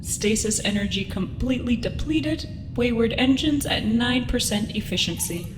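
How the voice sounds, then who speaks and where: A woman voicing a starship's computer that announces readings in a flat, precise way, heard over loud score music in an echoey mix. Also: fairly high pitch, moderate pace, close to an everyday voice. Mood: announcing. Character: female ship computer, calm and precise.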